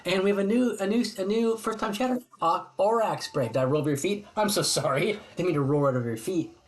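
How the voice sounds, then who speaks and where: A man's voice: sits high, fast talker. An American man chatting casually with his live stream viewers from his home hobby room, rambling and friendly with many restarts. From United States. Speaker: streamer host.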